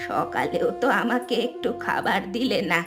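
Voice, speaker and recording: A woman voicing a sick, aggrieved old mother-in-law in a cartoon folk tale, with a weak, drawn-out voice. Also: mid-range voice, very animated, over music, voice pushed down. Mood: hurt, reproachful. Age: older.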